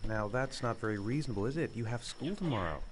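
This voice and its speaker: A man playing a weary, gentle father in a video game, soft-spoken, patient and a little stilted. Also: medium pitch, expressive, fast, slight background noise, close to an everyday voice, low-quality recording. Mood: patient, firm.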